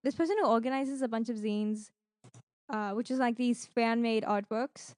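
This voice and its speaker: A woman's voice: a little high, moderate pace, dry recording, studio quality. A woman co-hosting a podcast in casual chat, rambling along and acting out little stories as she retells them. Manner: rambling, animated retelling with acted-out quotes. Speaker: podcast co-host. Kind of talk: casual chat about gaming streams and giveaways.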